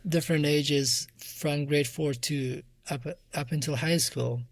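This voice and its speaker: A soft-voiced man from Afghanistan with an Afghan accent, giving reflective answers as an interview guest. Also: a little high, fairly even intonation, unhurried, dry recording. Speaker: interview guest, musician and music teacher.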